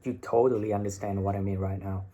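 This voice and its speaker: Slightly husky young Vietnamese man teaching casually. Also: on the low side, little pitch movement, quick pace, dark tone. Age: young adult. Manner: blunt, informal, swears freely. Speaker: English teacher and video host. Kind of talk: casual advice talk to camera. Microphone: phone, no separate microphone.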